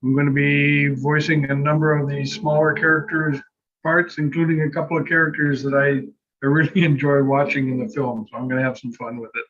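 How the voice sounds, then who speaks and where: A man's voice: a little high, little pitch movement, fairly quick, fairly bright tone, slight background noise. A man casually introducing himself as a performer over a lo-fi microphone. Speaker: table read performer.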